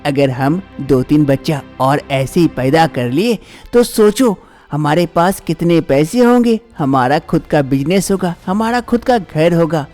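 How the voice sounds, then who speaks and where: A man's voice: fairly high pitch, measured pace, darkish tone, soft background music, lightly acted. A man voicing a worried, scheming, money-hungry young husband in a cartoon story. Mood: pondering.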